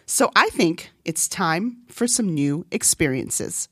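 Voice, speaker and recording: Chatty woman host from Guam, low-pitched. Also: animated, slow, background noise. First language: Korean. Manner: chatty, laughs often. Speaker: podcast host. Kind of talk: personal storytelling monologue.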